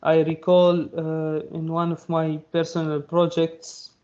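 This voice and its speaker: A man whose first language is Arabic, giving a technical talk in a halting way, with many fillers and restarts. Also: a little high, monotone, warm tone, lo-fi sound. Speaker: presenter.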